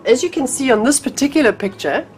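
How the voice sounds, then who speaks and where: A woman's voice: high voice, lively intonation, fast talker, a little mellow, faint music behind. A middle-aged woman teaching drawing from her home art room, chatty and a little nasal from a cold, with frequent ums and restarts. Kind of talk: casual art tutorial.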